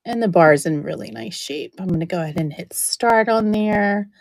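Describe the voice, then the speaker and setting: A woman running a live-stream auction, chatting warmly about each item while she loses her voice and keeps clearing her throat. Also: mid-range voice, normal speed, no echo. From New Jersey. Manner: chatty, describing items up close.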